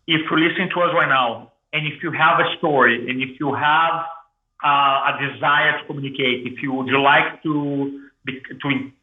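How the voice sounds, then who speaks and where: A man from Brazil with a thick Brazilian Portuguese accent, telling his story as an interview guest in an energetic, restarting way. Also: on the high side, moderate pace. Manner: energetic, repeats and restarts words. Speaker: guest, public speaking coach. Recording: echoey room over phone-like line.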